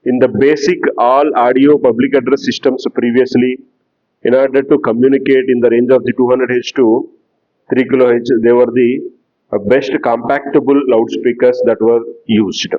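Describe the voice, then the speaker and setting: A man giving a lecture in a slow, explanatory way that repeats key terms. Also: mid-range voice, fairly even intonation, highs cut off. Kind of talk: classroom lecture.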